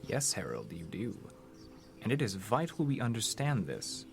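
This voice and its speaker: An actor playing a cold, clinical interrogator in an audio drama, speaking in a stilted, formal, probing way. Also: male voice, on the low side, huge pitch swings, normal speed, vocal fry, faint music behind, exaggerated voice.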